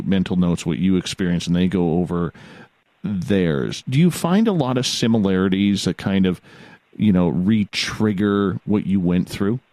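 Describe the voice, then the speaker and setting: A man's voice: low voice, warm tone, no echo. A middle-aged Canadian man hosting a late-night radio interview, easygoing and frank, joking about himself. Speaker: radio host.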